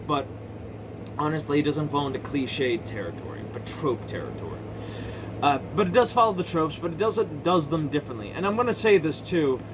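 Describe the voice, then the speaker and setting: Higher-voiced man rambling, noisy recording. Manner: opinionated, sweary, emphatic. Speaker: amateur movie reviewer. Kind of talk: casual rambling movie commentary.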